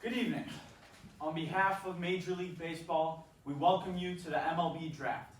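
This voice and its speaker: A man's voice: a little high, steady tone, a little fast, lightly acted. A man acting a formal official, very echoey. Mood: formal, welcoming. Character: formal male baseball league draft official. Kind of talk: ceremonial announcement in staged play reading. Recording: very echoey, distant, faint noise.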